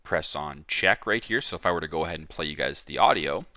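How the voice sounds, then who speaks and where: Lively, bright-voiced man giving a tutorial. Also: very deep voice, fast talker, dry recording, studio quality. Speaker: tutorial host. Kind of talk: step-by-step app tutorial voice-over.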